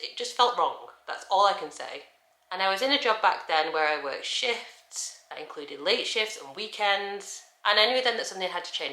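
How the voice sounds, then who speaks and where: Woman, late thirties, chatty vlogger, low bright voice, roomy echo. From England. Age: 30s. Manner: chatty and candid, lively shifts in pitch. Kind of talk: casual sit-down vlog. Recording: echoey room.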